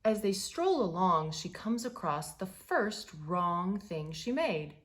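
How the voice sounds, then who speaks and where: A woman reading a children's picture book aloud in an expressive, deliberate way, stressing key words. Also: medium pitch.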